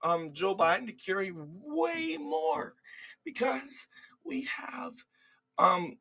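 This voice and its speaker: A man's voice: very high-pitched, very animated, slow, gravelly, sharp tone. A man with a cold, congested and slightly cracking, hosting casual political commentary over a screen recording in a mocking, dismissive way, often restarting his words.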